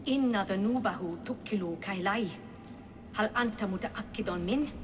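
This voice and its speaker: A long hall reverb and background noise surround an actress as a stern woman of a secret council. She speaks in a middle pitch, in game cutscene style. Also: normal speed, lightly acted. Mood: challenging. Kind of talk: cinematic video game acting.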